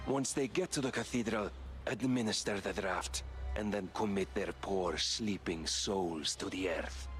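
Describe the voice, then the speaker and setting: Stern, solemn male villain for a game letter voice-over. Low register, measured and ominous, faint music. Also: a bit bright. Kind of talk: dramatic game voice acting.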